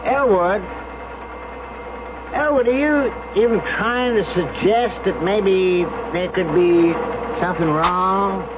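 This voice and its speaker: A dull, hissy old radio recording carries a folksy cable car operator. His voice sits high, and he talks like a showman selling the ride, in the manner of a classic radio play. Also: slow pace. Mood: defensive. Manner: showy tour-guide patter. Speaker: actor. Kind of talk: old-time radio drama acting.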